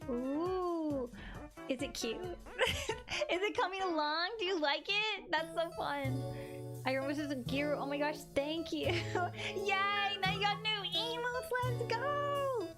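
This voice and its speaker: A young woman streaming her drawing, chatting bubbly and giggly with viewers, a bit hoarse from losing her voice. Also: extremely high pitch, animated, slow pace. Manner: bubbly, giggly, playful sound effects. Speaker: art streamer. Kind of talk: casual chat reading viewer messages. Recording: music playing underneath. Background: background music.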